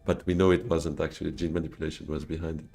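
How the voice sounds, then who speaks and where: A man's voice: a bass voice, level tone, fast, vocal fry, dark tone, faint music behind. A Swiss man, streaming a board game live and thinking his moves through aloud to himself and his chat. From Switzerland. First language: French.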